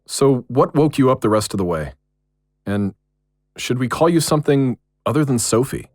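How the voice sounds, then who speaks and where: Worn-out male occult investigator, played by an actor: gentle, sincere, very varied intonation, horror audio drama. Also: medium pitch, lively intonation, moderate pace, no echo, studio quality, close to an everyday voice. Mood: weary.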